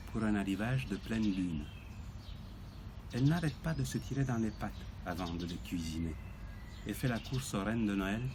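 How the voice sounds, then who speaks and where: A man reading poetry aloud in a measured recitation with deliberate pauses. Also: fairly low pitch, background noise.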